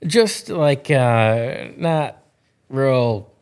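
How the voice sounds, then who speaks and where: A man of forty chatting casually as an interview guest, plain-spoken and a little halting. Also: mid-range voice, lively intonation, darkish tone, smooth. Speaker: interview guest, local commercial leasing agent. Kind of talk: casual chat.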